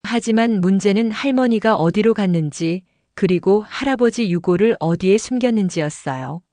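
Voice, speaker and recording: A woman narrating an audio drama in a steady storytelling voice. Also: mid-range voice, normal speed, no room sound.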